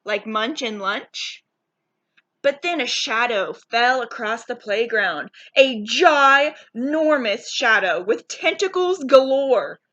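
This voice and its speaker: Animated, high-voiced woman reading a storybook. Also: takes it slowly, muffled recording. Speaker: storybook read-aloud narrator, asks listeners questions.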